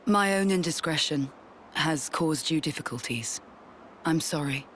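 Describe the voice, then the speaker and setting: A woman voicing a calm, formal, dignified military commander in a video game. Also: sits low, unhurried, a little hiss or hum. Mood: composed, gracious. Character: composed, dignified female military commander, dryly teasing. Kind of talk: scripted video game voice acting.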